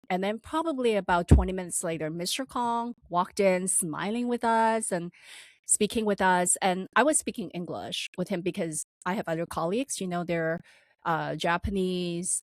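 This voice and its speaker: Podcast co-host, woman, slight accent, lowish voice, dry close sound, lively anecdote teller. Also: no echo. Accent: slight non-native accent. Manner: animated storytelling with laughs and acted-out quotes. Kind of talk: casual storytelling chat.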